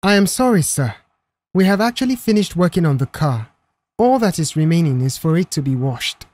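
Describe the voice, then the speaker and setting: A man reads a young male mechanic in an audio story. He speaks with measured control, in a fairly high voice with lively intonation. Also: no room sound. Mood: polite, placating. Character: calm, polite young male mechanic of few words.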